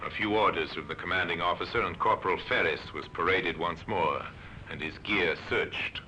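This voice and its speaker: A man's voice: deep voice, moderate pace, sharp tone, a little hiss or hum. A man reading scripted narration for an old-time radio mystery drama. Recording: muffled old radio recording.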